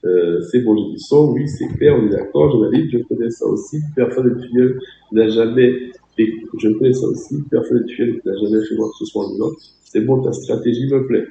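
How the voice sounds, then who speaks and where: A man's voice: mid-range voice, fairly quick, vocal fry, mellow, echoey room, highs cut off, a little hiss or hum. A man with a cold and a French accent, giving an emphatic webinar training over a video call, exhorting his audience directly. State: has a cold, voice a bit off. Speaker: network marketing trainer.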